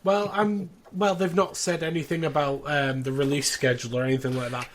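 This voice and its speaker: A man's voice: fairly high pitch, flat delivery, brisk. A man hosting a casual panel chat about a show. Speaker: podcast host. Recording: clear background noise.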